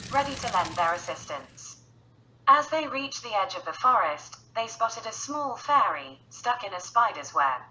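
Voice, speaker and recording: A woman's voice: medium pitch, sharp tone, slight background noise, poor audio. A woman narrating a scripted bedtime story for children in a storyteller's style.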